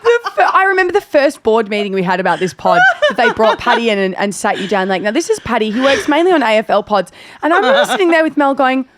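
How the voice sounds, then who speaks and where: A woman's voice: a little high, fast, background noise. An Australian woman co-hosting a podcast, chatting casually with jokes and swearing. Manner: swearing, joking, animated storytelling. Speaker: podcast co-host. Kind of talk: casual chat.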